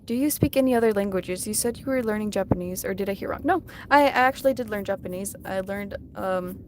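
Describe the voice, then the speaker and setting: A soft-spoken woman whose first language is Russian chats casually during a live drawing stream. She reads viewers' comments aloud and answers them in a friendly, unhurried way. Her voice sits at a middling pitch and is picked up by a phone. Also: noisy background. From United States.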